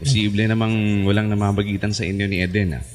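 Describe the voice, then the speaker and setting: Actor as a low-voiced skeptical Filipino buddy. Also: male voice, level tone, quick pace, close to an everyday voice. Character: skeptical young male buddy of the hero. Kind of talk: Filipino radio drama acting.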